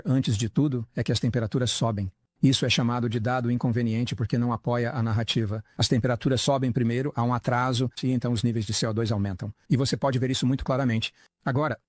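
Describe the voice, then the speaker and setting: A man's voice: medium pitch, dry recording. A man speaking in an earnest, explanatory way as the dubbed voice of a talk, posing rhetorical questions and answering them himself. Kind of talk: Portuguese voice-over of an interview talk.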